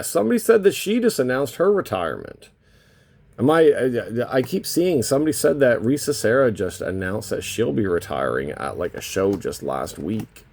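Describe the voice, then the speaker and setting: A man with a voice worn hoarse by a cold, hosting a casual, unscripted card box opening and rambling as he thinks aloud. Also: lowish voice, darkish tone. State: losing his voice from a lingering cold. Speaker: host of a trading card box-break channel.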